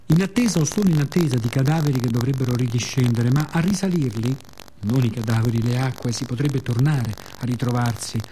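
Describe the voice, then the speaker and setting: Mellow Roman man telling a reflective story. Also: a little high, slight background noise, rough recording. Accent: Roman Italian. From Rome, Italy. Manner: reflective, wry, with colloquial asides. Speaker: writer-performer of radio theatre monologues. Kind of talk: scripted storytelling monologue, conversational and literary.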